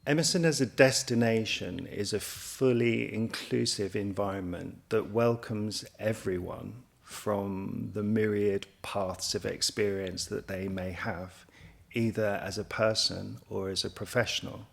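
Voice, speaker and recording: Slow, gravelly-edged man, formal and measured. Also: on the low side, steady tone. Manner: measured, formal, abstract wording. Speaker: college representative. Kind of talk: promotional interview talk.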